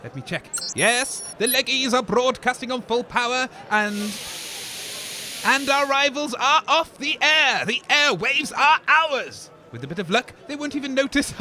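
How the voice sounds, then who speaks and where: A man's voice: extremely high pitch, expressive, a little slow, bright, forceful tone, full character voice. A man playing a grumpy, scheming radio co-host in a comedy broadcast, sneering and grumbling theatrically. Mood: scheming, urgent.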